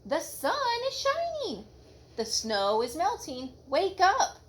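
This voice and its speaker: A woman voicing an eager, friendly male frog in a children's story, calling out in an excited, urging way. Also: extremely high pitch, takes it slowly, voice pushed up, exaggerated voice. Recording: home recording with background noise.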